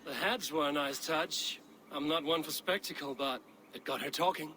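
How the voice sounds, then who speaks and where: Stern young Viking, curt, dry, bright forceful voice, slightly higher pitch, faint background noise. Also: male voice, normal speed. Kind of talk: dramatic video game acting.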